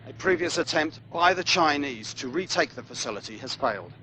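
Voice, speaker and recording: A serious war correspondent in a strategy game, with a slightly high voice. He reads formal, grave news reports in a newsreader's delivery, with faint noise underneath. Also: animated, a little fast, pushed and bright, rough recording.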